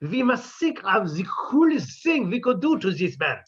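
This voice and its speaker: A sardonic, scheming older professor, played by a man, with a husky, lively voice and droll timing. The dull, lo-fi sound suggests an old radio recording. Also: high-pitched, normal speed, exaggerated voice. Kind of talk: 1950s radio sitcom acting.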